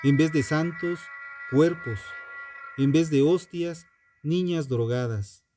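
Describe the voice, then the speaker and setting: A man narrates a scripted true crime piece in a solemn, dramatic and deliberate manner. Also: fairly high pitch. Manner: solemn, dramatic, deliberate phrasing with rhetorical questions. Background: music.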